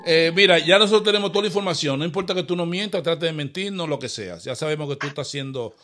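A man's voice: fairly high pitch, quick pace, pushed and bright, faint music behind. A man making a prank phone call, posing as an official in an insistent, accusatory way and repeating himself. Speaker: prank caller, show host. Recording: noisy recorded phone call.

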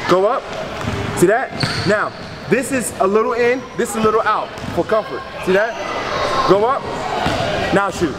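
A man in his thirties coaching kids at basketball, loud and upbeat with lots of encouragement. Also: sits high, normal speed, long pauses. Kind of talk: coaching and a short talk to kids. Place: large indoor gym. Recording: echoey gym with loud noise.

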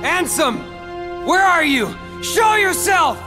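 A man's voice: extremely high pitch, very animated, slow pace, full character voice. An actor playing a brooding, determined teenage boy warrior in a video game, terse, earnest and defiant. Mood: angry, challenging. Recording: long hall reverb, music behind.

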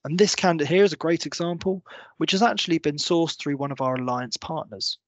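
Higher-pitched man presenting a software tutorial. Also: brisk, no room sound. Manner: clear, step-by-step explanatory delivery. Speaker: company presenter giving a software walkthrough. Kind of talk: semi-scripted screen-share tutorial narration.

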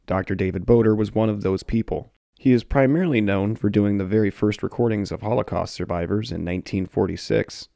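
A man narrating a short history film in a measured, scripted way. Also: lowish voice, creaky, mellow, dry recording, clean studio sound. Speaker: documentary narrator.

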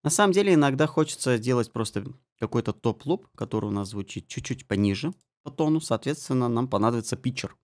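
Man explaining audio software over music samples. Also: mid-range voice, lively intonation, fast talker, few pauses. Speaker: audio mixing tutor. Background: music and guitar samples.

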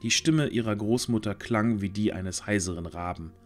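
A man narrating a scripted fantasy audio drama in a measured storytelling manner. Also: lowish voice, faint music behind.

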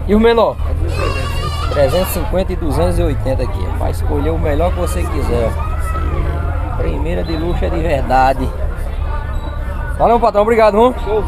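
Male market vlogger, Northeastern Brazilian accent, high register, informal folksy patter, recorded amid very loud crowd noise. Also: expressive, moderate pace, pauses often, music in the background. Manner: chatty, folksy, repeats catchphrases. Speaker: vlogger and host. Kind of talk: informal market tour commentary. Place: outdoors at a livestock fair. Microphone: handheld camera or phone. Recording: noisy outdoor livestock market, busy crowd.

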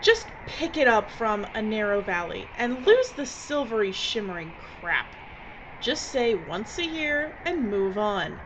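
A woman's voice: on the high side, big pitch swings, unhurried. A woman playing a bossy, irritable film director in an audio drama, curt and sarcastic. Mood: curt, impatient. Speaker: actor in radio drama. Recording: muffled, clear background noise.

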